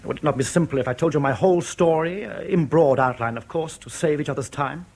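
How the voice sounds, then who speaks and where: Husky, careful East German defector. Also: male voice, a little high, a little mellow. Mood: earnest, grave. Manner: formal, careful, precise diction with hesitant pauses. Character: East German defector, 36, anxious, proud, courteous official. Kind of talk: radio drama acting.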